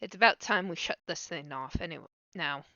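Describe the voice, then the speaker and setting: A woman hosting a casual watch-along who is getting over a cold, an eager fan who laughs often and makes quick asides. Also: low voice, pushed and bright, no echo, highs cut off. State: recovering from a cold. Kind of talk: casual chat.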